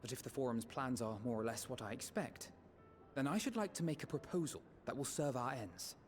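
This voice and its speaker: A male actor plays a polite scholar-advisor in a video game. He speaks briskly but courteously, keeping his words measured. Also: mid-range voice, animated, a little mellow, faint music behind, lightly acted. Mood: earnest.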